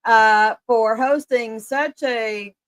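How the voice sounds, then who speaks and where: A woman co-hosting a casual interview podcast, chatty and upbeat with quick asides. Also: a little high, fairly even intonation, a bit bright, no room sound. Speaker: podcast co-host.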